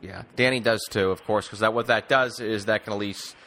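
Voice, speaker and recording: A man's voice: fairly low pitch, steady tone, fairly quick, a bit bright, slight background noise. A man hosting a casual sports podcast, rephrasing as he circles toward long, rambling questions.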